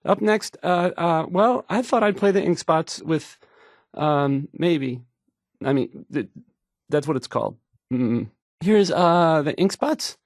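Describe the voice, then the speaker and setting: A man's voice: on the high side, animated, no room sound, studio quality. An actor playing an awkward, insecure young male radio DJ who stammers and gets flustered introducing songs. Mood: flustered, unsure. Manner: halting, stammering, flustered, self-doubting. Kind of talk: stumbling radio song introductions.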